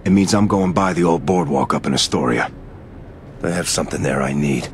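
Low-voiced brooding New York mobster. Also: male voice, a little fast, in a large hall, a little hiss or hum. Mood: grim, resolute. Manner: terse, streetwise, grim. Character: brooding tough male mob boss, haunted by lost love. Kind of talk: video game dialogue and monologue.

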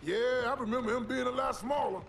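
An actor playing a huge, exuberant middle-aged soldier in a video game, hearty and boisterous. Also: male voice, very high-pitched, quick pace, creaky, raised voice, noisy background. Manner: whooping, hearty.

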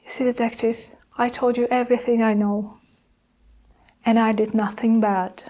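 A young woman playing a cold, scheming evil nurse in an improvised ASMR roleplay, soft-spoken and deliberate, often slipping into whispers. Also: fairly high pitch, level tone, natural voice. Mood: cold, defensive. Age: young adult.

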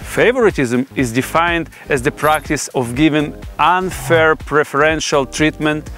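Higher-voiced man presenting formally over music. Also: measured pace. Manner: formal, academic phrasing. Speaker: presenter explaining research. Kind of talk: scripted explainer narration. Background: background music.

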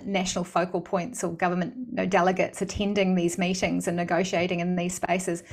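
Female, middle-aged, New Zealand accent; interview guest, explanatory, measured phrasing, medium pitch. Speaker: guest expert and campaigner. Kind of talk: explanatory interview answer on a video call. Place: indoor room at home or office, video call. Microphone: desk microphone in front of speaker.

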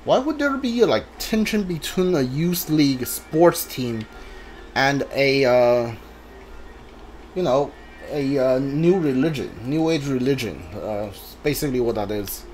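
A man's voice: mid-range voice, lively intonation, a little slow, a little mellow, a little hiss or hum, rough recording. A man giving casual video game playthrough commentary, mumbling his thoughts aloud as he plays. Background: game music.

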